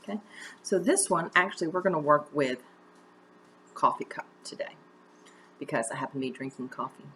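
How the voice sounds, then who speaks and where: Low-pitched woman teaching, noisy recording. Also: expressive, moderate pace, pauses often, fairly bright tone. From United States. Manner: conversational, encouraging step-by-step instruction with direct questions. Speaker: drama teacher. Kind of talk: instructional video mini-lesson.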